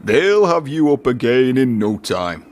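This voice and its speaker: Authoritative male railway boss, acted by a man, children's storybook character, medium pitch. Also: very animated, unhurried, a little mellow. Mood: reassuring.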